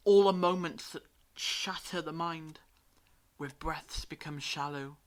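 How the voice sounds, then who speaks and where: An actor playing a knowing time traveller in an audio drama, speaking in a measured, grave, explanatory way. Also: medium pitch, close to an everyday voice.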